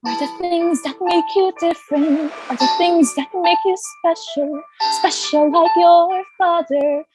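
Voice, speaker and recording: High-voiced, tender mother played by a woman. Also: a little slow, loud music behind the voice, rough recording. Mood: encouraging, singing. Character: loving, protective mother of a misfit son, gently encouraging. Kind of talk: musical theatre table read, speaking and singing.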